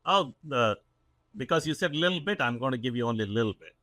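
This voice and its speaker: A man's voice: mid-range voice, expressive, fast talker, fairly bright tone, dry recording. A man in his forties from South India with a South Indian accent, a company CEO talking earnestly as a podcast guest, with many fillers and restarts. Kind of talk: casual interview talk.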